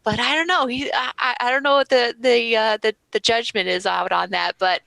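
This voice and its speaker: An enthusiastic woman chatting casually as the moderator of a panel, with an animated way of talking. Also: on the high side, normal speed, gravelly, no room sound. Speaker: panel moderator and game writer.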